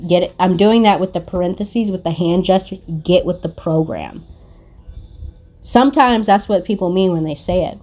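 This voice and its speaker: A woman's voice: lowish voice, moderate pace, darkish tone. A woman giving a casual spiritual card reading in a blunt, confrontational manner, swearing freely. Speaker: tarot card reader and spiritual content creator.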